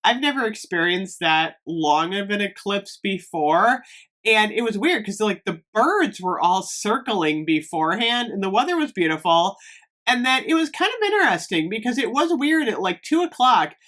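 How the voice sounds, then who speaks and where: A woman from Northeast Ohio vlogging casually about fragrances, slightly hoarse, chatty with lots of fillers. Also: medium pitch, sing-song, moderate pace, a bit bright. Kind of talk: casual chat to camera.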